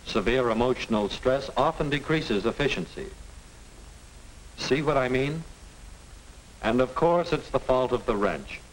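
A middle-aged American man reading scripted narration as a lecturer, didactic and deliberate. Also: medium pitch, expressive, rough recording. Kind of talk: scripted educational film narration. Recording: hissy 1950 film soundtrack.

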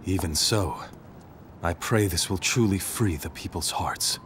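An actor playing a grave, weary young warrior sorcerer with solemn, archaic speech in a video game. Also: male voice, sits low, a little slow, a little hiss or hum. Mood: resigned, solemn. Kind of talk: dramatic video game voice acting. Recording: loud music behind the voice.

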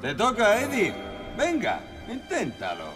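An actor with a Castilian Spanish accent voicing a big, blustery, friendly lion in a children's cartoon, theatrical and emphatic. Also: male voice, high-pitched, huge pitch swings, unhurried, over music, full character voice. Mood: encouraging.

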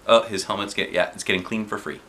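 A man reading a children's book aloud in an expressive, playful way, with chatty asides. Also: fairly low pitch, quick pace, slight background noise. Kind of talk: animated storybook read-aloud with chatty asides. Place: living room sofa, indoors. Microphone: camera a short distance away.

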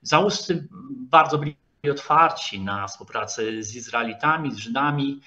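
A man lectures over a video call in a medium-pitched voice. He talks haltingly, often stretching filler sounds as he searches for the next phrase. The audio is dull and lo-fi. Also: pushed and bright. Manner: halting, hesitant, with frequent drawn-out filler sounds. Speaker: lecturer, historian. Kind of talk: online lecture with slides.

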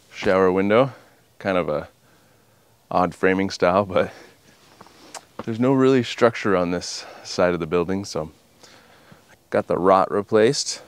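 A man's voice: sits low, smooth, no echo. A man in his thirties, outdoors at a small house under repair, explaining the framing work in a casual, matter-of-fact way to a handheld camera. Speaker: homestead builder, channel host. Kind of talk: casual on-site walkthrough. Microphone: handheld camera at arm's length.

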